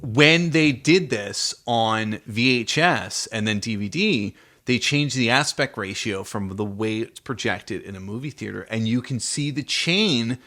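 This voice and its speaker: A man's voice: medium pitch, lively intonation, a little slow, few pauses, bright, forceful tone. A man co-hosting a casual film discussion, explaining trivia and putting questions to his co-host. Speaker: podcast co-host.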